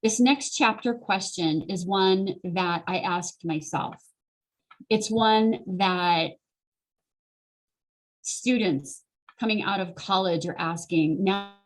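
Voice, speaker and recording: A woman's voice: medium pitch, slow, pauses often, rough recording. Encouraging middle-aged woman hosting a webinar. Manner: earnest, encouraging, conversational, addresses listeners directly. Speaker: host and career coach. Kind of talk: motivational webinar presentation. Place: online video call.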